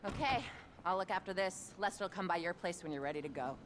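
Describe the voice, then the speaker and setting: Woman acting as a brisk, curt female criminal. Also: lowish voice, animated, close to an everyday voice. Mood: businesslike. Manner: blunt, dry, dismissive. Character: curt, unimpressed female criminal crew member. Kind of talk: video game cutscene acting. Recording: clear background ambient noise.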